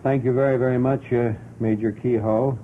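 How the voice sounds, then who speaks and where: A man's voice: steady tone, normal speed. Male radio host on a noisy old broadcast. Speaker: radio talk show host. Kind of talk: ad-lib late-night talk and live ad reads. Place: radio studio. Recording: muffled 1950s radio broadcast, noisy, rumbling.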